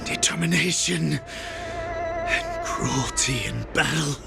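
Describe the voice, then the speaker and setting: An actor playing a weakened, defiant healer in a game, with a strained, halting delivery. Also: male voice, fairly high pitch, over loud music, exaggerated voice. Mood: bitter, defiant. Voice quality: creaky, strained. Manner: halting, deliberate. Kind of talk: dramatic video game voice acting.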